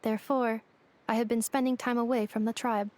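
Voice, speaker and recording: A woman voicing a calm female android in a game, speaking in a flat, formal and precise way. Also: fairly high pitch, a little fast, slight background noise, lightly acted. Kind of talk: game voice acting.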